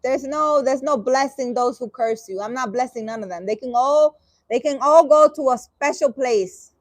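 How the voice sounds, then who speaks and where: Spiritual vlogger, woman, higher register, dry close sound; reads aloud, reacts with sarcastic exasperation, halting and profane. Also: no room sound. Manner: halting, restarting sentences. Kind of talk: reading a dream interpretation aloud and commenting.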